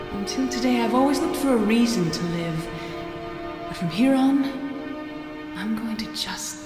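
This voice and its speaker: Long reverb surrounds an earnest woman geneticist's monologue from a video game, voiced by an actress. She is tender and resolute, speaking in a measured, solemn way that softens at phrase ends. A musical score runs clearly behind her. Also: medium pitch, close to an everyday voice. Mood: hopeful, tender.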